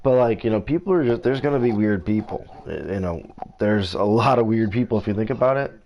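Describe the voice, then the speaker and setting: A man from the United States chats over voice chat during a team game. His voice sits a little low, and his talk is halting and casual, full of restarts. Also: animated, dull audio. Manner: halting, many restarts and fillers. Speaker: streamer playing an online team game. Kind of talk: casual voice chat while gaming.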